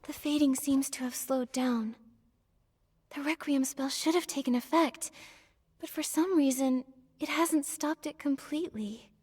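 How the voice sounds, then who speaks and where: An actress playing a gentle, earnest, inquisitive young heroine in a video game, with a soft manner. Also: female voice, high-pitched, measured pace. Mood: puzzled, curious. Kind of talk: scripted video game character dialogue. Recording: long hall-like reverb.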